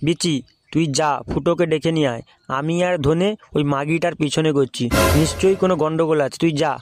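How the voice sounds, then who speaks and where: A man's voice: a little high, steady tone, a little fast, background noise, close to an everyday voice. A man voicing a crude, suspicious gang leader in a comedy cartoon, giving commands and swearing. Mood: bossy, suspicious. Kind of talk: adult comedy cartoon voice acting.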